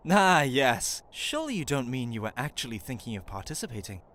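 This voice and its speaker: A man's voice: medium pitch, very animated, a little fast, lightly acted. A man voicing a composed, inquisitive god in an anime fan dub, musing and questioning, on a noisy track. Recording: clearly noisy track with room ambience.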